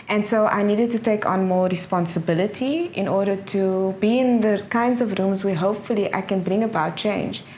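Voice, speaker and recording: A middle-aged South African woman, a professor speaking as an interview guest, earnest and quick to laugh, often restarting her phrases. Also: mid-range voice, darkish tone, a little hiss or hum. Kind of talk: casual interview answers.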